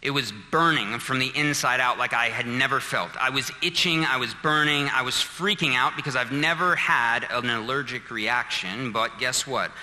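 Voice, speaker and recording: A man's voice: medium pitch, normal speed, hardly any pauses, pushed and bright, big-room sound, poor audio. A man in his thirties preaching a sermon, telling a personal story and building to fervent, emphatic appeals. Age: 30s. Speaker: pastor.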